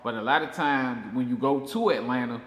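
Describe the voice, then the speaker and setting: Male interviewer, Southern accent, mid-pitched and brisk, informal slang, reverberant space with faint noise. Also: fairly bright tone. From Georgia, USA. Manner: slangy, often repeats "you know what I'm saying". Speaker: show host, interviewer. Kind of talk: casual interview chat.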